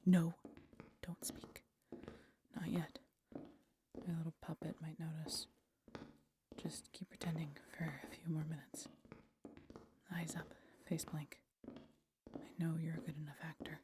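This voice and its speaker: A woman playing a wry, guarded vampire in a roleplay audio drama, sardonic and smooth-talking in a low-key way. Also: little pitch movement, a little slow, pauses often, background noise. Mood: hushed, urgent. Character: wry, guarded female vampire, flattering her rival, secretly protective.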